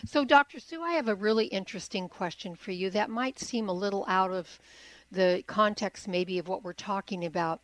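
A woman's voice: medium pitch, moderate pace, no room sound, rough recording. Radio host woman, dry studio voice. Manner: steady stream of soft backchannel murmurs, rambling personal questions. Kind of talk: radio interview.

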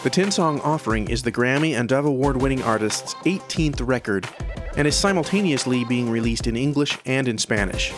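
A man reads scripted news as the host of an audio newsletter. His voice sits at a medium pitch, and music plays clearly underneath him. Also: animated, a little fast. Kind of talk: scripted narration. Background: background music.

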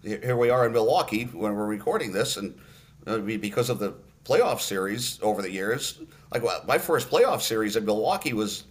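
Older man telling radio stories. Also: fairly low pitch. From Massachusetts. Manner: relaxed storyteller, many "uh" pauses. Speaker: radio play-by-play announcer. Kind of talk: podcast interview, storytelling.

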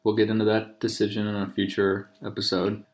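A man of thirty with a fairly low voice talks casually in an echoey kitchen. He leads the conversation like an informal host, teasing and joking as he goes. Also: monotone, a little fast. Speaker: podcast host interviewing his partner. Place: kitchen, at the table during a meal. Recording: roomy echoing home recording.

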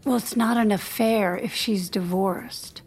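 A woman playing a reserved, guarded married woman in an audio drama, speaking in a measured, hesitant, understated way. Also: medium pitch, darkish tone, husky. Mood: skeptical. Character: reserved, guarded married woman, uneasy among gossiping friends. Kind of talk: naturalistic audio drama dialogue. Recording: music playing behind the voice.

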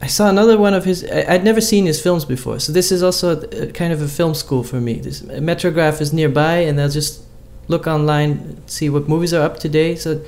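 A man's voice: on the high side, a little fast, few pauses, a little mellow. A man co-hosting a casual chat, talking in a hesitant way with many fillers and restarts.

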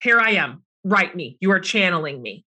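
A woman's voice: fairly low pitch, expressive, moderate pace, pushed and bright. A middle-aged woman hosting a book club interview, asking long, enthusiastic questions that linger over her words. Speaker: podcast host.

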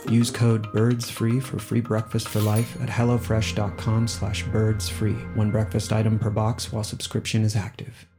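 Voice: mid-range voice, completely flat delivery, normal speed, hardly any pauses. An announcer reading a scripted sponsor advertisement. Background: prominent music.

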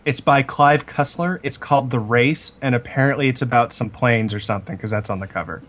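A man co-hosting a podcast with a casual, blunt and deadpan way of talking. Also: mid-range voice, fairly quick. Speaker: podcast co-host.

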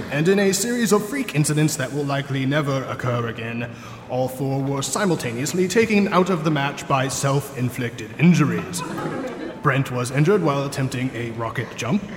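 A man's voice: mid-range voice, expressive, normal speed. A man reading a mock-solemn comedy announcement in a formal, tongue-in-cheek announcer's manner. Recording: echoey hall reverb, music behind.

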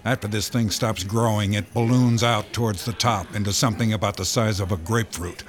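A man's voice: fairly low pitch, steady tone, a little fast, faint music behind, lightly acted. A man playing a brusque county sheriff. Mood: commanding. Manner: brusque, commanding. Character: gruff middle-aged male county sheriff, impatient.

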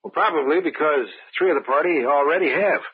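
An actor playing a calm, reasonable man hosting friends in a cabin, in old-time radio drama style. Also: a little high, fairly quick, slightly rough, no echo. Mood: calm, reassuring. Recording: muffled old radio broadcast.

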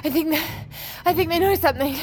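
An actress playing a frightened, struggling young woman in a sci-fi audio drama, anxious and halting. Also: very high-pitched, darkish tone. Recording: music under the voice.

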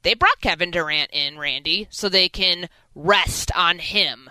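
Bright, clear American woman hosting sports radio. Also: fairly low pitch, a little slow, no echo. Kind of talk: sports talk radio commentary.

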